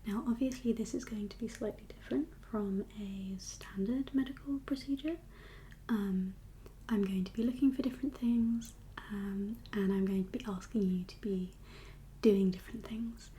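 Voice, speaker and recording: Soft whispery lady doing asmr doctor roleplay, calm n reassuring, mellow, bit of background noise. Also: medium pitch, moderate pace. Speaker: ASMR performer playing a doctor.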